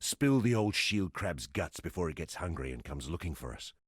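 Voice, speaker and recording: An actor playing a gruff, weary exile fighter in a fantasy video game, a plain-spoken, wry camp leader with a gravelly voice. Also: male voice, sits low, sing-song, moderate pace, dry recording, studio quality, full character voice. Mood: practical, cautionary. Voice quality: gravelly, husky. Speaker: non-player quest character.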